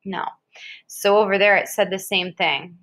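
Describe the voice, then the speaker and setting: A woman's voice: medium pitch, steady tone, unhurried, fairly bright tone. An American woman reading an educational article aloud in a conversational way, dropping in short casual asides. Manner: conversational, interjects short reactions. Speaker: reader of an article aloud to a child.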